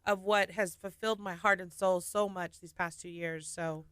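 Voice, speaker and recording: A woman in her thirties, a singer and nurse, telling her story with lively laughs in a casual radio studio interview. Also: fairly low pitch, normal speed, clear, resonant tone, dry recording.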